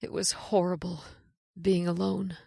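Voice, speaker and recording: A woman's voice: on the low side, little pitch movement, measured pace, creaky, dark tone, breathy edge, no echo, clean studio sound, full character voice. An actress playing a fatalistic, tearless woman lost in prehistoric time, grave and dramatic in old-time radio horror style. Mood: weary, resigned.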